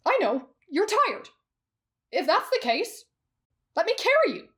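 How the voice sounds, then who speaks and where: A woman voicing an excitable, lovestruck and insecure teenage swordsman boy in an anime roleplay, with an eager, stammering manner. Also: extremely high pitch, measured pace, pitched above the performer's own voice, heavily acted. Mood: pleading. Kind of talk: anime roleplay ASMR acting.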